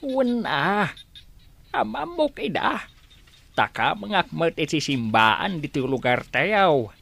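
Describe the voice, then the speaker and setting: Old gravelly dad in a radio drama, fond, slow talker. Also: male voice, fairly high pitch, sing-song, a bit bright, heavily acted. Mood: fond, grateful. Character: elderly father, fond, grateful, advising his grown child. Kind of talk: Ilocano radio drama acting.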